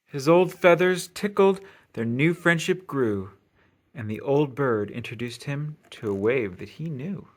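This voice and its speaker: A man reading a rhyming children's picture book aloud in an expressive, sing-song storytelling manner. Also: mid-range voice, a little slow. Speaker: storybook reader.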